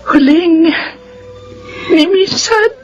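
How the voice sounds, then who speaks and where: A woman's voice: very high-pitched, extremely slow, darkish tone, exaggerated voice. An actress playing a middle-aged, grieving widow and devoted mother in a radio drama, speaking in an emotional, wistful, heartfelt way. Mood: tearful, earnest. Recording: muffled radio drama with music underneath.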